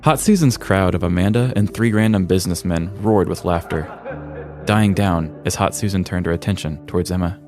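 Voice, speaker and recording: Low-voiced American man telling comic stories. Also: brisk, a little mellow, faint music behind. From United States. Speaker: podcast host. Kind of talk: scripted comedic story narration.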